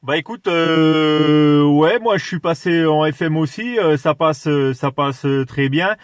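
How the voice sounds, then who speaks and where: A man's voice: a little high, measured pace, noisy background. A man chatting casually as an amateur radio operator, in a plain-spoken, hesitant way.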